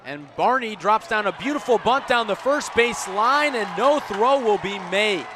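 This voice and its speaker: A man doing live radio play-by-play of baseball as the announcer, with a measured delivery that rises on the action. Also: high-pitched. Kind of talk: live radio sports commentary. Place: outdoors at a baseball stadium. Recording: outdoor ballpark ambience noise behind.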